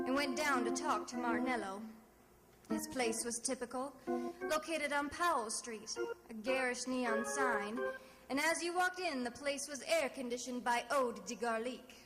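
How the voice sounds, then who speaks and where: Reverberant old radio: woman as hard-boiled American female sleuth, high voice, wry and sure of herself, loud music behind. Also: natural voice. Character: wisecracking, self-assured female private detective. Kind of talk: 1940s radio detective drama, first-person narration and dialogue.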